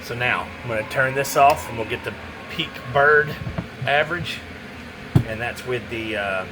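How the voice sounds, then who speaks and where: A man's voice: mid-range voice, moderate pace, background noise. A man giving a casual, rambling hands-on product demo, muttering to himself as he goes. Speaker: radio seller demonstrating a CB radio.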